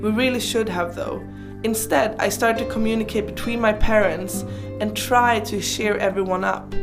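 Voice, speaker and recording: Girl in her 20s, german speaker, chatty casual life story voiceover, playful, music clearly playing behind. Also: mid-range voice, fairly even intonation, normal speed. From Sweden. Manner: chatty, informal, playful asides. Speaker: video creator narrating her life. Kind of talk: casual personal storytelling voice-over.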